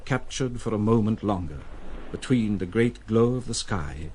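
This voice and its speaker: Male narrator for literary drama: lowish, husky voice with lively, varied intonation. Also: normal speed, a little hiss or hum.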